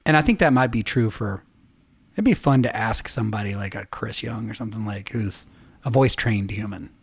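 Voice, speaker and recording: A man's voice: on the low side, fairly quick, slightly rough, no echo. Chatty middle-aged man, dry close sound. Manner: chatty, laughs easily, drifts into stories. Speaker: podcast co-host and musician. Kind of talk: casual chat.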